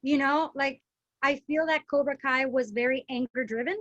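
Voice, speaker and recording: A woman with a high voice hosts a casual podcast chat, lively and quick to jump in. The sound is dry and a little dull, with no room around it. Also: dry recording. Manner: lively, chatty, quick to chime in. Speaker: podcast host.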